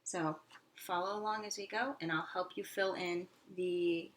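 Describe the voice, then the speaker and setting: A woman's voice: on the low side, steady tone, measured pace, background noise. A woman speaking as a teacher in a classroom read-aloud lesson, explaining simply and asking her pupils questions.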